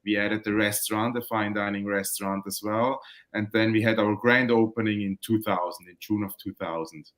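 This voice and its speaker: A man from Switzerland, a winemaker, chatting relaxed and rambling on a video call, often correcting himself mid-sentence. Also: lowish voice, normal speed. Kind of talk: casual video call interview. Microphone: webcam and computer mic on a video call.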